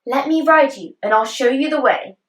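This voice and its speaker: A woman voicing a small, clever young boy in a storybook tale, with a high, pleading voice. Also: lively intonation, normal speed, a bit bright, roomy sound, far from the mic. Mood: coaxing.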